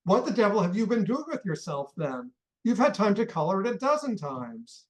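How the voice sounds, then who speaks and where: Actor playing a bossy, irritable old American millionaire. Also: male voice, sits high, sing-song. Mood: scolding. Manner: barking, scolding, rapid-fire orders. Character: irascible older American millionaire, bossy and impatient. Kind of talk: radio play acting.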